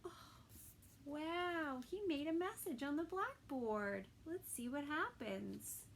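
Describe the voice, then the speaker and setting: A woman reading a picture book aloud for toddlers at story time, dramatic and with hushed little asides. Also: sits high, gravelly. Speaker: children's story time reader.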